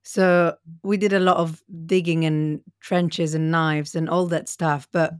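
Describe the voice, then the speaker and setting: A woman's voice: low voice, flat delivery, measured pace, smooth, dry recording, studio quality. A woman from Italy interviewing in a reflective, conversational way and sharing her own experience.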